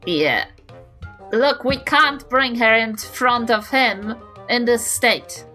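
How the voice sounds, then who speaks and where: A woman's voice: a little high, slow pace, sharp tone, soft background music. A woman chatting casually as a player in a tabletop game, hesitant and wry.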